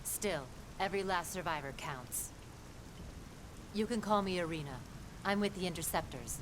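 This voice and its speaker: A woman's voice: normal speed, lightly acted. An actress playing a loyal, plain-spoken woman lieutenant in a video game, earnest and respectful in her speech. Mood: wry, plain-spoken. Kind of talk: video game cutscene dialogue. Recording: game audio over rumbling background noise.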